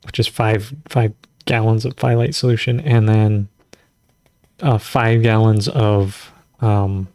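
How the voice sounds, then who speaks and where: A man's voice: medium pitch, a little mellow, no echo. A man hosting a casual live farming Q&A, reading recipes aloud and rambling, often stumbling over numbers and foreign words with self-deprecating asides. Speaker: host of a natural farming livestream.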